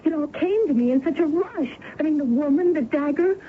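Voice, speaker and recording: An actress playing an earnest, impressionable young daughter in a dramatic old-time radio style. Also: female voice, high voice, expressive, brisk. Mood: shaken, insistent. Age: young adult. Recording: tinny, noisy old radio recording.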